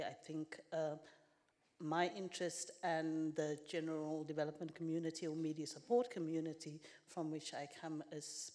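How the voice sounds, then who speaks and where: A woman's voice: low-pitched, a little mellow. A middle-aged woman on a conference panel, speaking thoughtfully with many hesitations into a handheld mic. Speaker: media trust director, panelist. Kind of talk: panel discussion.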